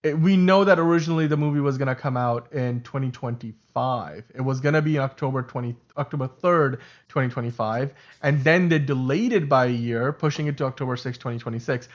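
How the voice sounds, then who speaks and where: A man's voice: medium pitch, a little fast, few pauses, highs cut off. A man whose first language is Urdu, co-hosting a casual livestream chat, talking in a chatty way, often correcting himself and laughing. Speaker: podcast co-host.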